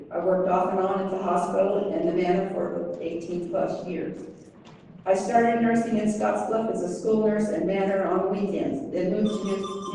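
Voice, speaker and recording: A woman's voice: mid-range voice, mellow, slight background noise. An older woman and grandmother from Wyoming, giving a chatty, self-deprecating candidate speech she reads from notes. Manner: chatty, self-deprecating, reading a list of jobs. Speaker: board candidate. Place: convention center meeting room. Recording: echoey hall from far off.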